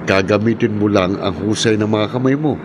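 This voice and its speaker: An actor playing a nosy, streetwise pal of a gambler in a horror radio drama, speaking colloquially and questioningly. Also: male voice, on the low side, normal speed, natural voice. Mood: prodding, puzzled. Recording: clear vehicle-like background noise.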